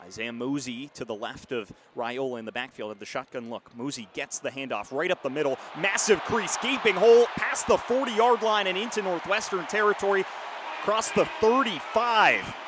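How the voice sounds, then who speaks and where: A man's voice: fairly high pitch, moderate pace. A man in his twenties calling a football game on radio in clipped, descriptive phrases. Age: 20s. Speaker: play-by-play football announcer. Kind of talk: live radio sports commentary. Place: live at a football stadium. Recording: loud stadium background noise. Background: stadium ambience and noise.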